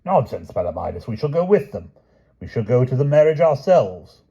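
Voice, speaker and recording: A man's voice: mid-range voice, very animated, moderate pace, warm tone, slightly rough, highs cut off, pitched above the performer's own voice. A man voicing a breezy, hearty older knight with a jovial manner.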